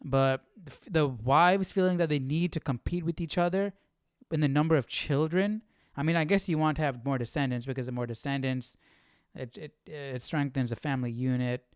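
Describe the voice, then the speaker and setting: A man's voice: a little high, normal speed, smooth, no room sound, clean studio sound. A man hosting a casual live Bible study stream, thinking aloud in an informal, hesitant way.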